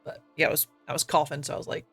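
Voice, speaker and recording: A man streamer, slightly raspy from coughing, chatting casually and self-deprecatingly with lots of fillers and quick laughs while he plays. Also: a bit bright, faint music behind. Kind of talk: casual live-stream chat while gaming.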